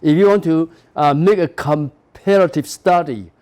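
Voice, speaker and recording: A man's voice: on the high side, unhurried, a little mellow, smooth. A middle-aged man from Taiwan, a native Taiwanese speaker with a Taiwanese accent, giving a university lecture in English with frequent "you know" and "okay" and emphatic stresses. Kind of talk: classroom lecture.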